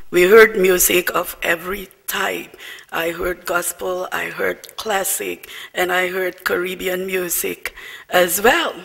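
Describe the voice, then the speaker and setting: Slow, gravelly Dutch-accented man. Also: high-pitched, bright, forceful tone. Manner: measured, with asides to the audience. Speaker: diplomat giving a toast. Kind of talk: formal after-dinner speech. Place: large banquet hall. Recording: long hall reverb, faint music.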